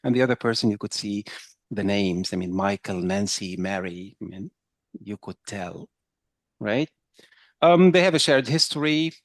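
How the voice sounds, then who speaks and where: Man; chatty trainer lecturing a group; low pitch, dry room. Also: animated, normal speed, dry recording. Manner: conversational, checks in with "right?". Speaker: intercultural trainer. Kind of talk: workshop lecture with audience.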